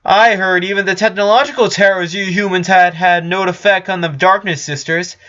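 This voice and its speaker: A man's voice: sits high, steady tone, moderate pace, bright, forceful tone, lo-fi sound, voice pushed up. A man voicing a determined female unicorn in a story reading, harsh and lovesick. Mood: shouting, pleading.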